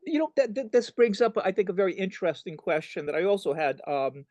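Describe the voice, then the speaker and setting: Quick, qualifying man moderating. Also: high-pitched, dark tone, no room sound. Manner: fast asides, lots of qualifiers and self-corrections. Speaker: professor moderating the conversation. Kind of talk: public conversation on stage.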